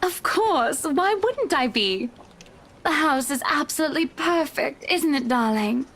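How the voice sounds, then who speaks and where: Rich bubbly wife in a video game, high sweet voice, super gracious and gushy. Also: female voice, lively intonation, unhurried, a bit bright, heavily acted. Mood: delighted, gushing. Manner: gushing, polished, affectedly sweet. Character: wealthy, bubbly, gracious married woman, an eager house buyer.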